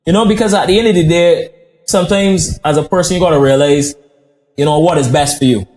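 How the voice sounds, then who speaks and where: A man from Barbados, a guest chef telling stories in casual interview chat, animated and given to quick bursts of speech. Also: fairly high pitch, rough recording.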